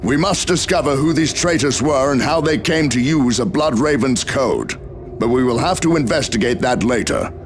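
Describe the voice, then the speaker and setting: Authoritative space marine captain, male actor, video game. Grave, formal, mid pitch with strong inflection. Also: animated, a little hiss or hum, close to an everyday voice. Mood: grave, commanding. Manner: stern, formal, deliberate briefing. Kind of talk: video game cutscene voice acting.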